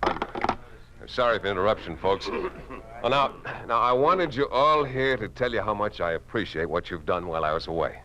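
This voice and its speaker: A man's voice: fairly low pitch, expressive, normal speed, lightly acted. A man acting in a 1950s radio drama as a naive, well-meaning newspaper publisher who protests earnestly. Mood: pleased, hesitant. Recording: noisy old 1950s radio recording.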